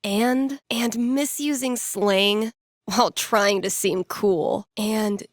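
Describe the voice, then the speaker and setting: A woman acting as a young American radio DJ and playful fortune teller in a video game. Her voice sits at a medium female pitch, delivered in a wry, casual, teasing way. The sound is close and dry, with no room around it. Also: fairly even intonation, slow, no echo, natural voice. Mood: playful, dramatic.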